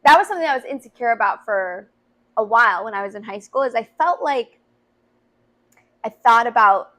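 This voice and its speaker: A young woman who works as a youth minister, talking earnestly on a podcast in a high voice. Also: expressive, a little fast, a bit bright. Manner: earnest, frequent "to be honest" and "like". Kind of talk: casual chat.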